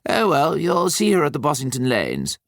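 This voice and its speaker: Guy doing an older posh english gent, clipped and needling, pitched up, audiobook dialogue. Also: huge pitch swings, normal speed, dry recording, studio quality. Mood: brisk, needling. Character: older upper-class Englishman Nicholas, brisk, needling, socially pushy.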